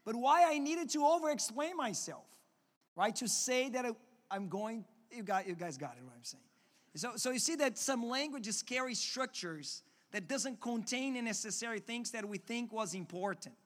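High-voiced Portuguese-accented preacher. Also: male voice, lively intonation, normal speed. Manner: earnest, repeats and restarts words. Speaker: pastor. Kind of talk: sermon. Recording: long hall reverb.

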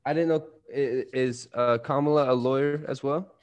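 A man's voice: mid-range voice, steady tone, normal speed, a little mellow. Easygoing twenty-year-old man. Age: 20s. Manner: easygoing, hesitant, trails off with fillers. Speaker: podcast co-host. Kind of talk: casual chat.

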